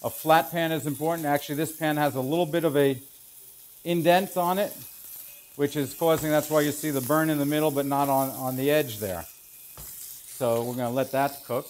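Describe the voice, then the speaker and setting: A man in his forties, a chef instructor, explaining each step in a relaxed way as he cooks in a large teaching kitchen. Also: on the high side, moderate pace, slight background noise. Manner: relaxed, explanatory, thinking aloud while working. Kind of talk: cooking demonstration. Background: food sizzling in a pan.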